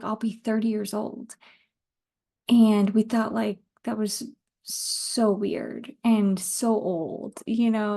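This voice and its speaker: Mellow, higher-pitched woman chatting casually. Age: 30s. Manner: informal, rambling, laughs easily, occasional swearing. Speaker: podcast host. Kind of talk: casual solo podcast chat.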